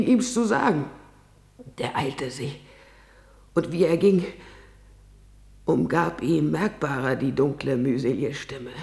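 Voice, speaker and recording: Slow, creaky-voiced grieving maidservant messenger. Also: female voice, medium pitch. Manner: solemn, halting delivery swinging into anguished cries. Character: distraught maidservant bringing news of royal deaths. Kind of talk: tragic verse drama for radio.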